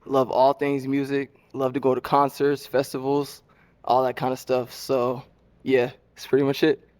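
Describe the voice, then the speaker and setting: Man, relaxed, casual, mid pitch, flat. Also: normal speed. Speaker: dating show contestant.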